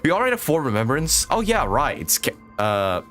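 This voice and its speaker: A man's voice: mid-range voice, wildly swooping pitch, brisk, a bit bright, soft background music. A man live-streaming a game, chatting casually with viewers in a joking, musing way.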